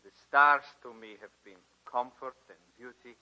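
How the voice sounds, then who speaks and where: A man's voice: mid-range voice, fairly even intonation, moderate pace, pushed and bright, dull audio. An actor playing a polite, dreamy young male student with a formal, earnest manner, in a 1940s radio drama. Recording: vintage 1940s radio recording.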